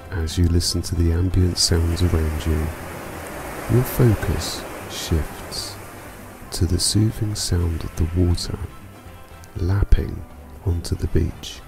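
A man narrating a scripted guided meditation for sleep in a calm, soothing, unhurried manner. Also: low voice, creaky. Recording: music bed behind voice. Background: music.

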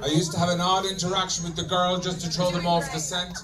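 Irish stand-up comic, middle-aged man, high-pitched and deadpan, heard from across the club over crowd laughter. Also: fast. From Ireland. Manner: deadpan, swears freely, addresses audience as folks. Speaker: stand-up comedian. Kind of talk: stand-up comedy routine. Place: club stage in front of a laughing audience. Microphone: handheld mic, filmed from the audience. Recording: distant room recording with crowd laughter.

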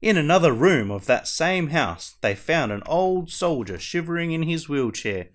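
A man playing a costumed superhero who reads a children's storybook aloud in a theatrical, deliberate way. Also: on the high side, sing-song. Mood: animated storytelling. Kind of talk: animated picture-book read-aloud.

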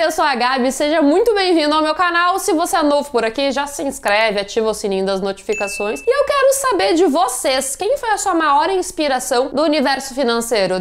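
A young woman with a high, bright-sitting voice hosts a finance channel from a room at home. She talks to camera in a lively, chatty way with lots of gesture, recorded close on a lapel mic. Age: young adult. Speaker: finance YouTuber. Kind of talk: scripted explainer to camera. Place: home room with bookshelves, indoors.

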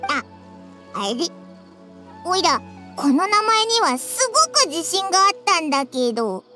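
A woman voicing an excitable, childish little floating fairy in a video game, squeaky and brash. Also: a little slow, over music, exaggerated voice. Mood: flustered, boastful. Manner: brash, rough boyish phrasing. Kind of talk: scripted game dialogue.

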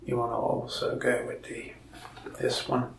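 A young man playing a lonely, friendly mask shop salesman in a soft-spoken ASMR roleplay, talking slowly and often slipping into a whisper. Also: mid-range voice, monotone, echoey room, far from the mic, noisy background. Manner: slow, soft-spoken, gravelly with frequent whispered stretches. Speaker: ASMR creator. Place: small indoor room, mask wall behind.

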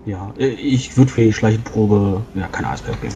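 A man's voice: on the low side, normal speed, over loud music. A man playing a tabletop game, casually describing his character's actions and often repeating words as he thinks.

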